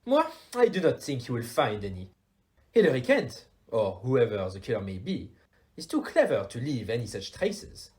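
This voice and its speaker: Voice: medium pitch, big pitch swings, normal speed, a little mellow, natural voice. Someone doing a fancy french detective guy for an audio play, super sing-song, polite and sure of himself, theatrical. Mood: confident, suave. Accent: put-on French. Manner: formal, theatrical, sprinkled with French phrases.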